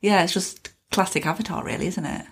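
A woman's voice: fairly low pitch, a little fast, breathy edge. A woman co-hosting a casual music podcast, chatting in an upbeat, fan-like way. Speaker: podcast co-host.

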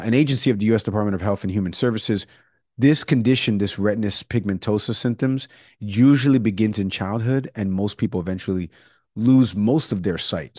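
A man with a lowish voice hosts a talk segment and tells a story loosely, stumbling and restarting as he goes. The sound is dry and close to the mic. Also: darkish tone, no room sound. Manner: rambling, with fillers and restarts. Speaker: show host. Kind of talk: casual news talk segment.

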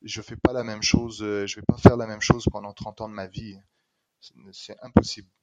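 Brief: middle-aged Réunion man, Réunionese accent with Québécois hints, deep-ish voice, emphatic and lively guest. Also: normal speed. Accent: Réunionese French with some Québécois color. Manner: lively, emphatic, repeats words for stress. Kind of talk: casual conversation.

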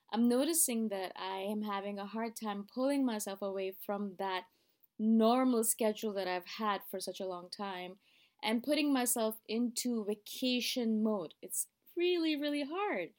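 Woman host, mid pitch, casual confiding podcast talk. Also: a little mellow. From Canada. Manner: chatty, confiding, often says "you guys". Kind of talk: casual reflective podcast monologue.

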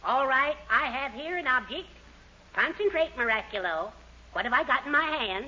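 An actress playing a bossy, persuasive schemer with theatrical, emphatic delivery in a 1940s radio sitcom. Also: female voice, high-pitched, lively intonation, normal speed, pushed and bright, full character voice. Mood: showy, insistent. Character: bossy, scheming partner with grand showmanship. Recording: dull, noisy 1940s radio recording.

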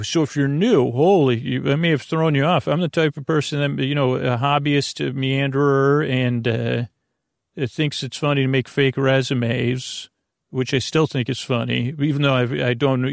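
A man hosting a bedtime podcast in a creaky, lulling voice, meandering hesitantly through tangents. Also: medium pitch, no room sound, clean studio sound. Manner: hesitant, digressive, trailing off into tangents; lulling, soothing. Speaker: host of a bedtime sleep podcast. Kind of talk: rambling, meandering bedtime monologue.

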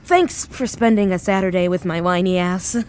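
A woman acting a grieving, anxious, self-deprecating woman in her thirties in an audio drama, speaking haltingly. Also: fairly low pitch, a little mellow, a little hiss or hum, lightly acted. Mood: wry, casual. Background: music.

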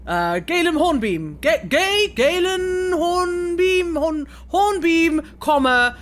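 A woman improvising as a player in a tabletop role-playing game, halting and stammering as she goes. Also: extremely high pitch, expressive.

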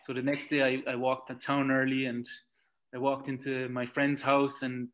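A man from Belgium with an Irish accent, a rock climber being interviewed, talking in halting bursts full of repeats and "yeah". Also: mid-range voice, completely flat delivery, fairly bright tone. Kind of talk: casual interview chat.

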